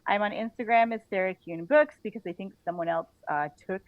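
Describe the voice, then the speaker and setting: A woman in a relaxed conversational interview, talking informally in halting phrases peppered with filler words and small chuckles. Her pitch sits mid-range for a woman. The sound is dry and a little dull. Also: dry recording. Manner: chuckles often while talking; informal, halting, frequent "like" and "you know". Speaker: interview guest, author.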